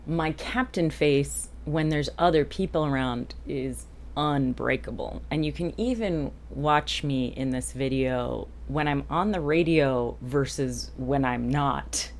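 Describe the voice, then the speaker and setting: Female vlogger, boat-captain type: very deep voice, candid and self-deprecating, casual explainer delivery, noticeable background noise. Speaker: boat captain and video host. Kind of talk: casual explanatory vlog to camera.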